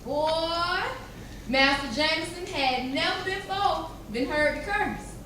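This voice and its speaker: A woman performing as a formerly enslaved woman who recounts her life in dramatic, deliberate stage storytelling. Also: extremely high pitch. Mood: solemn recounting. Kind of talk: dramatic readers theater storytelling. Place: large echoey chapel during a live performance. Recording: cavernous echo, distant mic, noisy.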